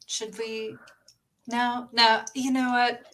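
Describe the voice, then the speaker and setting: A woman's voice: on the high side, flat delivery, slow, fairly bright tone. A woman taking part as a player in a tabletop roleplaying game, chatting and improvising casually.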